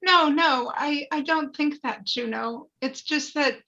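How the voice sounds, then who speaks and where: A woman's voice: sits high, unhurried, gravelly, pushed and bright, dull audio, heavily acted. A woman playing an anxious, earnest wife who longs to be a mother, in a script table read. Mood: uneasy, hesitant.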